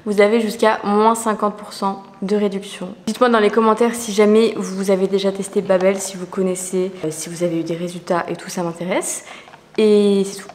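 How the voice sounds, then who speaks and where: A young woman chatting casually to camera in a lifestyle vlog, playful and slangy, with quick asides. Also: mid-range voice. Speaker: lifestyle vlogger.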